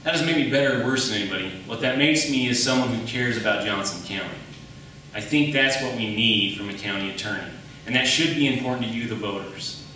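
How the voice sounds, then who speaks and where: A man from Wyoming making his case as a candidate in a campaign debate, in a deliberate, measured way with emphatic pauses. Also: mid-range voice, pushed and bright. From Wyoming, United States. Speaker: political candidate, private attorney. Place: public debate in an echoey indoor room, mic at a distance. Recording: echoey room, distant mic, faint noise.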